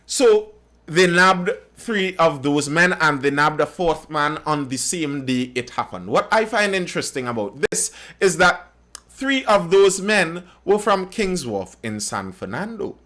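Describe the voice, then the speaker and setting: A man's voice: fairly high pitch, big pitch swings, unhurried, pushed and bright. A Trinidadian man hosting a live stream, commenting on news and reading viewer comments, often repeating phrases as he thinks aloud. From Trinidad and Tobago.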